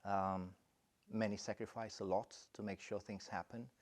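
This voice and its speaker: A middle-aged man from Romania, a college principal answering interview questions in a thoughtful, halting way with many fillers and restarts. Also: fairly low pitch, level tone.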